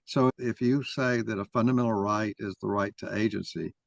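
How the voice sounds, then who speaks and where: A man's voice: lowish voice, fairly even intonation, normal speed, creaky, no room sound, highs cut off. A man moderating a mock trial discussion and arguing his own view as he goes. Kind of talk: argumentative discussion.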